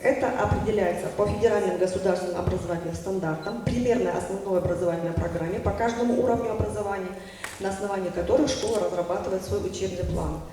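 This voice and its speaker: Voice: mid-range voice, dark tone. Distant, echoey formal report reader. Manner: measured official delivery with occasional hesitations and self-corrections. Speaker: speaker presenting a report at a public meeting. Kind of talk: formal report read aloud, dense with statistics. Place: large meeting hall at an academy. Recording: echoey hall, distant mic, faint noise.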